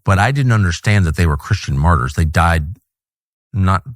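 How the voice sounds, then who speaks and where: A man from California interviewing a guest, asking probing, deliberate questions. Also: low-pitched, lively intonation, dry recording, studio quality. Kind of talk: interview conversation.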